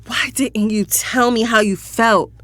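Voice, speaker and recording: A woman playing a bold, tender young lover in an intimate audio drama, probing with soft intensity. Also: fairly high pitch, fairly even intonation, unhurried, no room sound.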